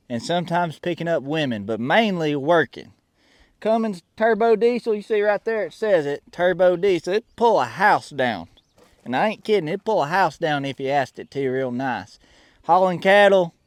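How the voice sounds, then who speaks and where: A man acts out a folksy country cowboy who loves to brag about hard work. His voice sits high for a man, with a Southern American drawl and a bossy, homespun way of talking. The sound is close and dry, as in a comedy skit. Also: no echo. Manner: drawling, folksy, bragging, calls listener son.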